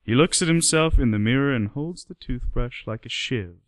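A man's voice: medium pitch, expressive, moderate pace, no echo. A man giving scripted narration for a radio play.

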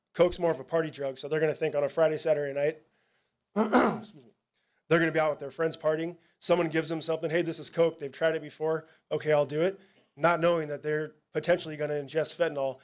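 A man, a police narcotics officer, giving a casual, halting presentation at a town hall, with many fillers and restarts. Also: a little high.

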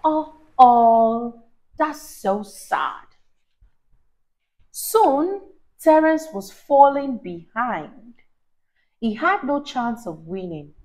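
Young woman, children's read-aloud, slow pace, very lively intonation, expressive, teacher-like. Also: fairly high pitch, low-quality recording. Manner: expressive, teacher-like, deliberate emphasis, asks questions. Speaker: children's storyteller and host. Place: small indoor room, on a sofa. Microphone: clip-on lapel mic.

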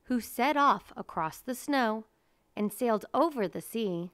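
A woman reading a children's picture book aloud in a measured, deliberate storytelling manner. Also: on the high side, animated, a bit bright, no echo. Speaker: storybook reader.

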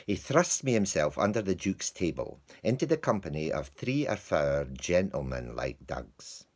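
An actor playing a comic lowly male servant, telling a broad Scottish-dialect tale of his dog with mock indignation. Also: sing-song, normal speed. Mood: rueful. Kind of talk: theatrical monologue.

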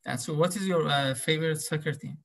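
A man teaching a class over a video call, calling names slowly and asking students follow-up questions. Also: fairly high pitch, vocal fry, a bit bright. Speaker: professor.

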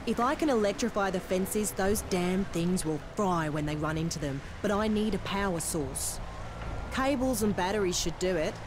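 A woman's voice: mid-range voice, expressive, normal speed, noisy background, natural voice. A woman playing a brusque, practical, testy survivor mechanic in a video game, blunt and no-nonsense. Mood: businesslike.

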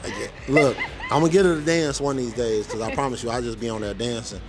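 A man's voice: mid-range voice, fast, loud music behind the voice. A man hosting a vlog, chatting casually in a laid-back, joking, slangy way. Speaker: vlog host. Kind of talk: casual chat.

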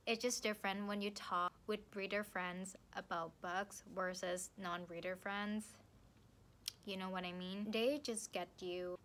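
A young woman from Thailand with a Thai accent, chatting playfully to the camera from her sofa about books, with lively hand gestures. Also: medium pitch, slight background noise. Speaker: book vlogger. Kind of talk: casual vlog to camera. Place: living room, seated on a sofa. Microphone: camera in front.

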